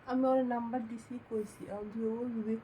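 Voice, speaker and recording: A woman's voice: on the high side, little pitch movement, normal speed, mellow, a little hiss or hum, close to an everyday voice, poor audio. A woman playing an offhand, teasing elder sister-in-law in an amateur skit, with a rural Bangladeshi Bengali accent. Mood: defensive. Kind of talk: amateur skit dialogue, colloquial.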